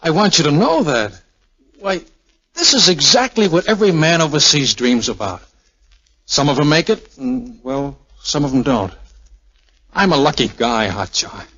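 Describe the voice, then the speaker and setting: A man's voice: fairly high pitch, expressive, moderate pace, bright, forceful tone, husky, exaggerated voice. An American man playing a tender, wisecracking young army air officer in a 1940s radio drama. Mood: earnest, tender. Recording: muffled, lo-fi 1940s radio recording.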